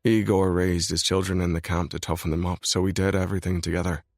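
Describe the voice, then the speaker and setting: A man voicing a brooding, controlled mafia boss in a scripted audiobook reading, low and grave. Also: flat delivery, quick pace, no echo, studio quality. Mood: grim. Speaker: male lead voice in audiobook.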